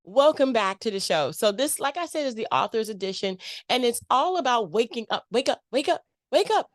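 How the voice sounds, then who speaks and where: A woman's voice: on the high side, sing-song, a little fast, dry recording, faint music behind. A bubbly, playful woman from the United States hosts a casual author interview. Her voice is dry and close, with no room around it. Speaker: podcast host. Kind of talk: casual radio-style interview.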